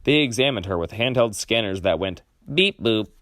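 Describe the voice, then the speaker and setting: A man reading a children's picture book aloud expressively, with dramatic pauses. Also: lowish voice, expressive, a bit bright, no echo. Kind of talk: expressive storybook read-aloud.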